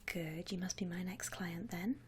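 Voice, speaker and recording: A woman playing a friendly, attentive saleswoman in a whispered ASMR roleplay, speaking softly in soothing customer-service patter with endearments. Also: fairly low pitch, moderate pace, a little hiss or hum, lightly acted. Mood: polite, attentive. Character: friendly attentive saleswoman at a vampire coffin shop. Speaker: ASMR performer.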